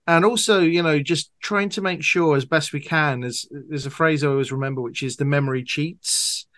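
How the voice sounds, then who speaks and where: A man's voice: a little high, fairly even intonation, normal speed, a bit bright, dry recording, clean studio sound. A man giving conversational, explanatory answers as an author being interviewed, talking at length.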